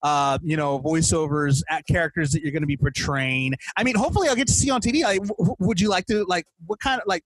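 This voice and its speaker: A man's voice: a little high, fast, few pauses, no room sound, low-quality recording. A man hosting a podcast in a casual, chatty, informal way, often repeating his phrases. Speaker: podcast host.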